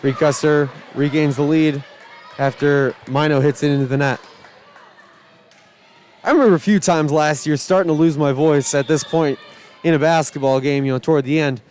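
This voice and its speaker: Hoarse-edged young man calling volleyball. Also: on the high side, normal speed, a little hiss or hum. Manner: excited shouting calls over rallies. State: starting to lose his voice. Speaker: play-by-play announcer. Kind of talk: high school volleyball play-by-play.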